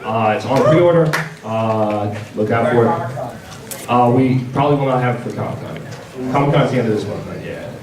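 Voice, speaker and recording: Halting male panel presenter in echoey hall. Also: medium pitch, a crowd in the background. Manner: halting, stop-start delivery with repeated words. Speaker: company presenter at a convention panel. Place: large indoor convention panel room. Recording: echoey hall, distant mic, audience noise.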